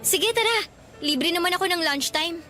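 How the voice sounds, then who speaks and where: A woman's voice: extremely high pitch, normal speed, bright, forceful tone, faint music behind. A woman acting a cheerful, teasing young college student in a radio drama, with a Filipino accent. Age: young adult.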